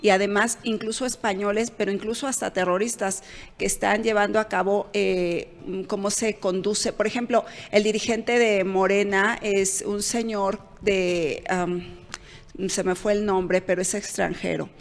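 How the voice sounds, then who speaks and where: A woman's voice: mid-range voice, fairly even intonation, a little slow. A woman co-hosting a political talk show, giving opinions with fillers and self-corrections. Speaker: show co-host. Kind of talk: political commentary chat. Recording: long reverb.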